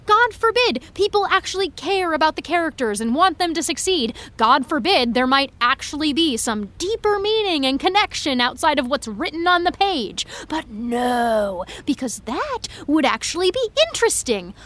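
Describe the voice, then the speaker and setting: Exasperated, high-pitched young woman. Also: sing-song, normal speed, hardly any pauses, a bit bright. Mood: indignant rant. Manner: exasperated, emphatic, sarcastic. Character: upset, indignant young female fan venting to her friend. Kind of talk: naturalistic audio drama acting.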